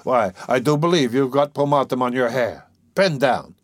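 A man's voice: medium pitch, animated, moderate pace, no room sound, studio quality, pitched above the performer's own voice, close to an everyday voice. A man playing an irritable, scheming young man, sardonic and brooding, in an audiobook of a classic novel. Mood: sly teasing. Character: irritable, scheming young former law student, mocking and anxious.

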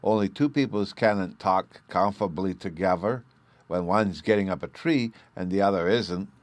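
A man's voice: low voice, normal speed, dry recording, natural voice. A man voicing an eager, stubborn little fairy boy with a babyish lisp, in an audiobook. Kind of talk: audiobook character voice.

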